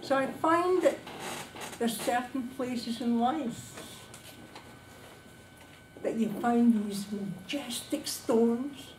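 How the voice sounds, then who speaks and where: A woman giving a prepared descriptive travel speech to a club, speaking dramatically and emphatically in short phrases with pauses. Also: a little high, darkish tone. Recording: distant, echoey room recording with background noise.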